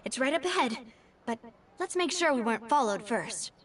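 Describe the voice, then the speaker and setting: A woman's voice: on the high side, expressive, normal speed, background noise, lightly acted. A woman voicing a poised, gracious young lady boss in a video game, composed and genteel. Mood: cautious.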